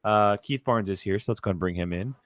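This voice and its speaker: A man's voice: fairly low pitch, brisk, fairly bright tone, no room sound. A man casually moderating a live movie talk show as its host.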